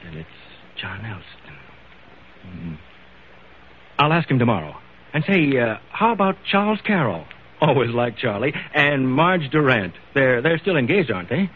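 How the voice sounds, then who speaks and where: An actor playing an earnest businessman husband in an old-time radio drama, speaking in a measured, probing and hesitant way. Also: mid-range voice, big pitch swings, husky. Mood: eager, calculating. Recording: tinny, noisy old radio recording.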